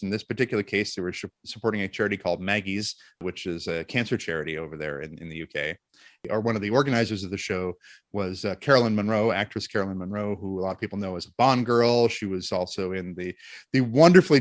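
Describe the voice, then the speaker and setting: A man speaking as an interview guest and voice actor, telling stories in an expressive, jokey, self-deprecating way. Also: fairly low pitch, no echo, clean studio sound. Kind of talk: animated interview storytelling.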